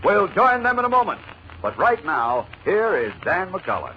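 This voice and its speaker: A man's voice: high voice, very animated, normal speed. A man giving dramatic scripted narration as announcer of a 1940s radio serial. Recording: muffled, noisy vintage radio recording.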